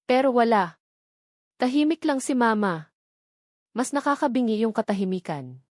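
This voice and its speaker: A woman's voice: fairly high pitch, lively intonation, moderate pace, darkish tone, no echo, studio quality. A woman with a Filipino accent narrating a scripted dramatic story in an emotive storytelling manner.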